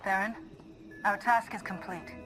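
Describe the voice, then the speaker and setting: A woman playing a resolute, noble Jedi hero in a video game, calm and decisive. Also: medium pitch, a little slow, close to an everyday voice. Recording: music behind.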